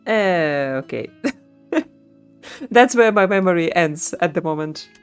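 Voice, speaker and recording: Lowish-voiced woman game streamer. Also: animated, takes it slowly, clean tone, faint music behind. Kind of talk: casual live reaction commentary.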